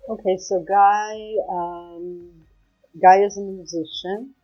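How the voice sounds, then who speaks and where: A woman from Israel being interviewed, speaking hesitantly with many pauses. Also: steady tone, faint music behind. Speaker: guest, mother of a hostage. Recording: muffled low-quality call audio.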